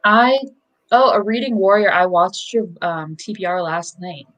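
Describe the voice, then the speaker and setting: A woman's voice: mid-range voice, a little slow, fairly bright tone, rough recording. A young woman in casual chat as co-host of a book livestream, rambling with lots of likes and ums.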